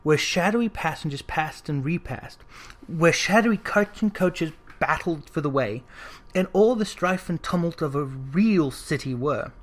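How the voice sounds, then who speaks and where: A reader giving an expressive, dramatic narration of a classic story, leaning into lists with emphasis. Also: medium pitch, a little slow. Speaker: audiobook reader.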